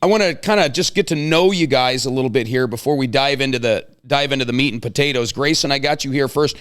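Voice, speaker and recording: A man's voice: medium pitch, fast talker, fairly bright tone, smooth. A middle-aged American man hosting a casual, chatty interview with folksy, joking banter. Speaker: show host interviewing guests.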